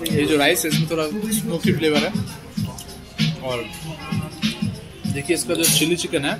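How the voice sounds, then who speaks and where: Man, food vlogger, slightly high voice, loud music behind, chatty restaurant review. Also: steady tone, moderate pace. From Kolkata, India. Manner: chatty, addresses viewers as friends, repeats phrases. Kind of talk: casual food review vlog. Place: restaurant dining room.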